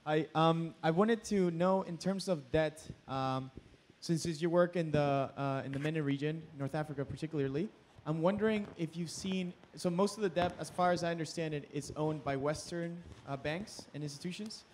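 High-pitched young man asking from the audience. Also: a little slow, darkish tone. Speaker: audience member asking a question. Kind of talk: spontaneous question to a panel. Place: audience in indoor hall. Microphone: handheld microphone. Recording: faint room noise.

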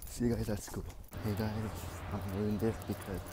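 A man's voice: lowish voice, level tone, normal speed, very noisy. A young man chatting informally and in a rambling way to a handheld camera for a daily vlog. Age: young adult. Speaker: vlogger. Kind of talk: casual daily vlog chat. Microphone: phone or camera at arm's length.

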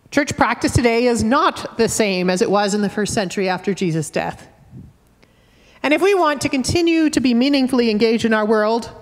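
A middle-aged woman with a Canadian accent, a minister giving a measured, earnest sermon from the pulpit with gentle humor. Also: on the high side. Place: wood-panelled church sanctuary, at a pulpit. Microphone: fixed church camera at a distance. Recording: church with long reverb.

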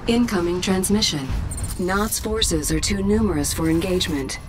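A woman's voice: lowish voice, normal speed, natural voice. A woman voicing an efficient battlefield announcer in a war video game, with clipped, formal, impersonal delivery. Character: cool, efficient military battlefield computer announcer. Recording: loud music over game audio.

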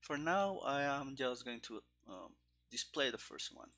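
A man in his thirties giving casual live coding commentary, haltingly thinking aloud into a headset mic. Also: medium pitch, lively intonation, sharp tone. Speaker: programmer live-streaming game development. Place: small room indoors at a desk. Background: keyboard typing and clicking.

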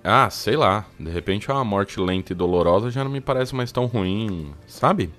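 An actor playing a resigned, sarcastic programmer held captive in an audio drama, weary and placating. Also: male voice, fairly low pitch, expressive, moderate pace, a bit bright. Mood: sardonic, resigned.

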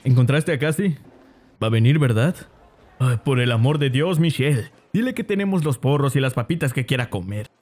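Man playing a mellow, cocky teenage schoolboy. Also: medium pitch, expressive, fairly quick, close to an everyday voice. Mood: breezy. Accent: Latin American Spanish. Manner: breezy, self-assured. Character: cocky, smooth-talking teenage schoolboy ringleader.

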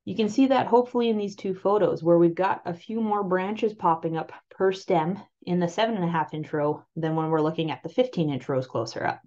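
A woman with a Canadian accent presenting on-farm crop trial results over a slide presentation, explaining them clearly and steadily. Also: on the low side, a little fast, few pauses, a little mellow. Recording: slightly muffled voice-over.

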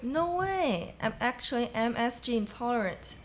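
A woman's voice: a little high, lively intonation, normal speed, a little hiss or hum, lightly acted. A young woman acting an easily alarmed friend in a student live radio play. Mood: teasing. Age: young adult. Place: small studio. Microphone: standing microphone.

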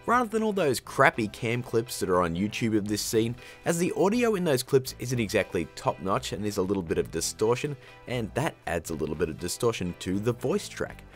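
A man hosting a casual, scripted software tutorial in a jokey, slangy, informal way. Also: mid-range voice. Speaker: tutorial host.

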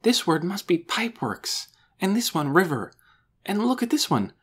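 A woman voicing an excitable, insistent and earnest young girl in a children's audiobook, eager and emphatic. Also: lowish voice, breathy edge, voice pushed up. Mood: earnest, explaining. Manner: eager, emphatic, words rushing out. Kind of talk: children's audiobook character dialogue.

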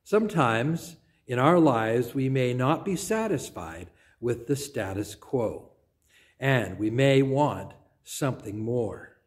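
Older man, pastor, unhurried sermon delivery, soft trailing phrase endings, small church, close camera mic. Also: mid-range voice, animated. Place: small church sanctuary, indoors. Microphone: phone or camera at close range.

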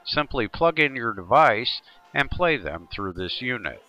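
A man's voice: mid-range voice, big pitch swings, unhurried, sharp tone. A man presenting a tutorial in scripted, instructional narration.

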